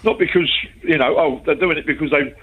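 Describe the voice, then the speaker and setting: A man's voice: on the high side, brisk, husky, phone-quality sound. A man in his fifties with a North West London accent, calling a radio phone-in and talking bluntly and emphatically. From England. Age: 50s. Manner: blunt, emphatic working-class talk. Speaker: caller.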